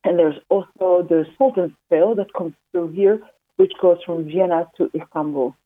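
A woman's voice: fairly low pitch, normal speed. A woman from the Netherlands, a walking pilgrim, talking in a phone interview with many fillers and restarts. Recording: tinny phone line.

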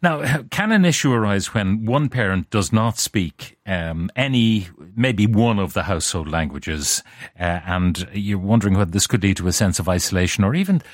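A man hosting a radio show, chatty and quick to laugh, with many fillers. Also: lowish voice, expressive, no room sound, studio quality. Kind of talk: radio studio chat. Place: radio studio.

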